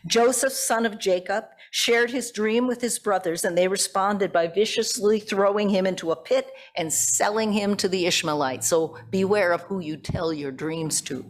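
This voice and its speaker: A woman's voice: mid-range voice, normal speed, hardly any pauses. Woman preacher, mid-range voice, reflective sermon delivery with casual asides. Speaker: pastor. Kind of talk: sermon, conversational with prepared text.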